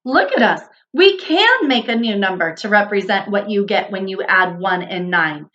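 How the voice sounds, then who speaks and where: A woman's voice: medium pitch, sing-song, normal speed, a bit bright, dull audio. A woman voicing an earnest, eager number character, a hopeful young inventor in a children's storybook.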